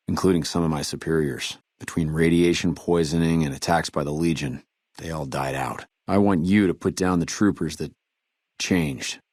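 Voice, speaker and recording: An actor playing a weary, dutiful army trooper in a video game, speaking in a measured, earnest soldierly way. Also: male voice, a bass voice, no echo, studio quality. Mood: somber. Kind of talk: video game dialogue acting.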